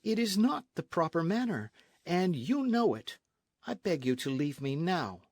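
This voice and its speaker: A man's voice: a little high, very animated, measured pace, a little mellow, no room sound, pitched above the performer's own voice, heavily acted. A man voicing a proud, outraged young Spanish colonial noblewoman in a storybook, speaking formally and emphatically. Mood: firm, offended. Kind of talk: storybook narration with character voices.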